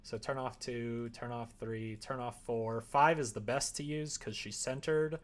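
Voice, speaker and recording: A man's voice: medium pitch, fairly even intonation, unhurried. A man chatting casually as a streamer, rambling and thinking aloud while he works through a tutorial. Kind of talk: casual livestream chat and tutorial while working. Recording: clear background noise, keyboard typing.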